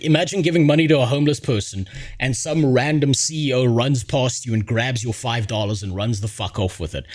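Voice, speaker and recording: A male streamer ranting in a blunt, swear-heavy way, rambling from one point to the next. His voice sits at an ordinary middle pitch. He talks like he's reacting live to whatever's on screen. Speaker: streamer host.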